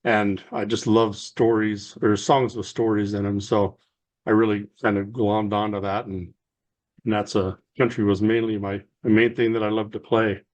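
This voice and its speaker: A middle-aged man, a musician guest with a low voice, telling stories in a relaxed, rambling way with lots of fillers. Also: normal speed. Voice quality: lower voice. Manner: rambling storyteller, many fillers and restarts. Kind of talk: casual interview storytelling.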